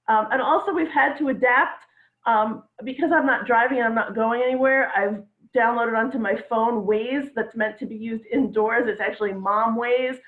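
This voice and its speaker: A woman doing a stand-up set from home, with a dry, deadpan delivery. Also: a little high, moderate pace. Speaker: stand-up comedian. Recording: tinny echoey phone-like line.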